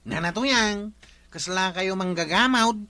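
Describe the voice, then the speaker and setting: A man's voice: sits high, unhurried. A man playing a reluctant village seller who refuses credit, protesting in a comedy drama. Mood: reluctant, annoyed.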